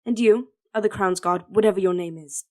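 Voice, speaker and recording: A woman's voice: mid-range voice, fairly quick, darkish tone, lightly acted. A woman playing an imperious, protective young princess, a king's daughter, in an audio drama. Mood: incensed, commanding. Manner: imperious, clipped commands.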